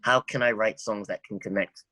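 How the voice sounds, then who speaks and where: A man speaking as a songwriter guest in an interview, reflective and halting, often repeating words as he thinks. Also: lowish voice, fairly even intonation, pushed and bright, dry recording.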